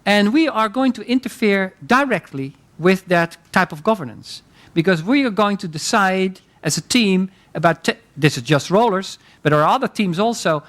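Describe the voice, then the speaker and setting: A man's voice: sits high, lively intonation, normal speed, fairly bright tone. A man leading a seminar, teaching conversationally and often affirming participants. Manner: conversational, frequent affirming interjections. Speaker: seminar lecturer.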